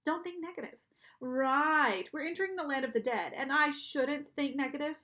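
A woman's voice: sits high, moderate pace, bright, forceful tone, dull audio, pitched above the performer's own voice. A woman voicing a plucky, defiant young boy hero in an audiobook, earnest, cheeky and bold. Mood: determined.

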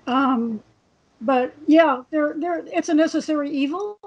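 A woman on a panel speaking from home in a relaxed way, with wry asides. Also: sits high, unhurried. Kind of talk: casual panel discussion. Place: home room. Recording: dull lo-fi video call.

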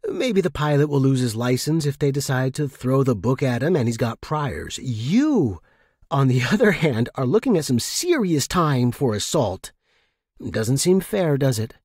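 Man playing resolute spaceman, husky, blunt, measured, guarded, dry sound, sci-fi story. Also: mid-range voice, big pitch swings, a little mellow, no room sound. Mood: calm, calculating. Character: guarded, resolute male space outpost worker, bluntly bargaining.

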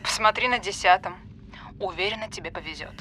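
A woman's voice: mid-range voice, a little fast, bright, forceful tone, a little hiss or hum, natural voice. A woman acting in an audio drama as a callous, flippant, fame-hungry character on a phone call, mocking and breezy.

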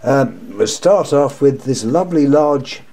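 An older man with a sore, croaky throat, talking casually and in a rambling, repetitive way while showing his lamp collection at home. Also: mid-range voice, lively intonation, measured pace, warm tone. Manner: rambling, repeats himself, wry complaints. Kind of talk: casual show-and-tell monologue. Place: indoors at home, table of lamps.

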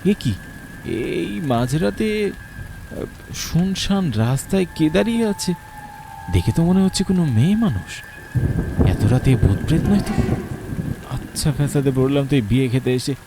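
A man's voice: fairly high pitch, sing-song, normal speed, gravelly, long pauses, background noise. Man narrating a stormy ghost story. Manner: first-person, tense and dramatic, with nervous stammers. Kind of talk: scripted horror story narration. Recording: loud rain and thunder behind.

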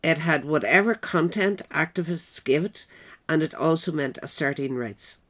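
A woman narrator reading a scripted political essay in a measured, written style. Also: deep voice, fairly bright tone.

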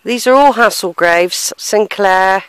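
A woman's voice: mid-range voice, slow, a bit bright, clean tone, no echo. A middle-aged woman vlogging as she walks through a country churchyard, thinking aloud, sighing and reading gravestones in a hesitant, musing way. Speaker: family history vlogger. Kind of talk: casual walking vlog, thinking aloud. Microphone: handheld camera, unseen narrator behind it.

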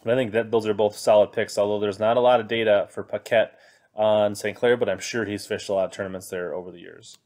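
A man in his thirties with a somewhat low voice talks through fantasy fishing picks in an easygoing, conversational way. He fills his pauses with plenty of ums and uhs as he reads along from web pages. He speaks from an indoor room into a desk mic. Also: fairly even intonation, darkish tone. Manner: conversational, many fillers, reading from web pages. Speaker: fantasy fishing podcast host. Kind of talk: casual commentary on fantasy picks. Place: indoor room, screen recording with webcam inset.

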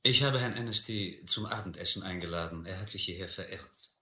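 A vain and dangerous master of a remote island in an adventure radio play. He is polished and self-important, with a rather low but very sharp, forceful voice. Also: a little fast, echoey room. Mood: smooth, self-important. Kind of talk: German radio adventure drama acting.

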